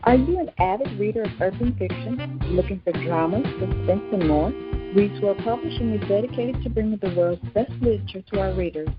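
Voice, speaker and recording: A woman reading a radio advertisement in a smooth promotional manner. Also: medium pitch, brisk. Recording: lo-fi with guitar music behind.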